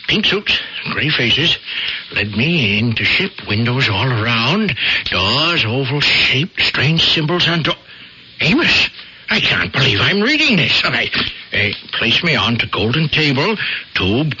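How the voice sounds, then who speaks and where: An actor playing an excitable, astonished newspaper editor in a radio drama, raspy, blustering and repeating himself. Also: male voice, mid-range voice, a little slow, slight background noise, full character voice. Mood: amazed, amused. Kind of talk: 1970s radio drama acting. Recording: dull old radio broadcast.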